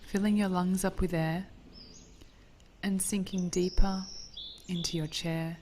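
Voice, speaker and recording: Woman doing guided meditation, super slow n soft, mellow voice, faint birdsong behind. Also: lowish voice, flat delivery, slight background noise. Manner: gentle, soothing, hushed with breathy phrase endings.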